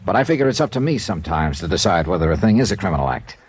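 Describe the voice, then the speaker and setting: A man's voice: sits low, very animated, very fast, slightly rough, full character voice. An actor playing a blunt, hard-boiled male insurance investigator in an old radio drama, pressing questions and narrating. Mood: stern. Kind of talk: 1950s radio drama acting.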